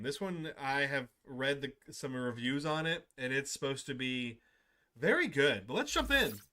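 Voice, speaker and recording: A man's voice: on the high side, sharp tone. A man streaming from home on a desk microphone, chatting casually and upbeat with his viewers, rambling with restarts. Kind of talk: casual livestream chat.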